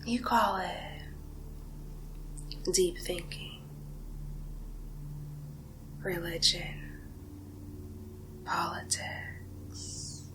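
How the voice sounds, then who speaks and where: A woman playing a condescending, seductive vampire in an ASMR hypnosis role play, whispering breathily with slow, hypnotic pauses. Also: mid-range voice, poor audio. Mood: smug, condescending. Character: condescending seductive female vampire feeding on human prey.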